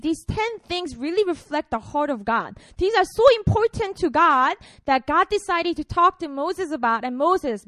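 Chatty young woman pastor, high voice. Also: fairly bright tone, no room sound. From Korea. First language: Korean. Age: 20s. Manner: chatty and playful, frequent fillers. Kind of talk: casual sermon to students.

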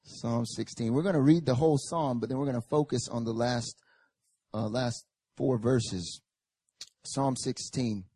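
Young male pastor, hoarse and raspy, relaxed joking turning to loud fervent prayer, dry close sound. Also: mid-range voice, unhurried, dry recording. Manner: casual and joking, rising to loud fervent Scripture reading and prayer. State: hoarse, recovering from a chest infection. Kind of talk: sermon. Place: church service.

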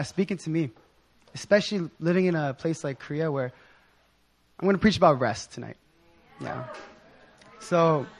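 A young man with a hoarse voice giving an informal sermon in a casual, slangy, excited manner. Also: on the high side. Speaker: preacher.

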